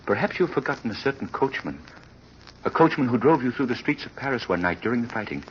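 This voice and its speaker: An actor playing an earnest, grateful young husband, speaking emphatically in vintage radio drama style. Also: male voice, medium pitch, expressive, fast, lightly acted. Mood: urgent, grateful. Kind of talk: 1930s radio drama acting. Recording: dull, noisy vintage radio recording.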